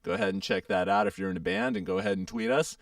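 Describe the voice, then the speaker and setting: A young man hosts a casual radio show with a heavily gravelly, hoarse voice. He talks in a lively, up-and-down way, rambling through fillers. His voice is smooth under the rasp, recorded dry and slightly off-mic. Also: low-pitched, racing, dry recording, recorded from a distance. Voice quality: froggy, gravelly. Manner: chatty, rambling with many fillers. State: hoarse, getting over a sore throat.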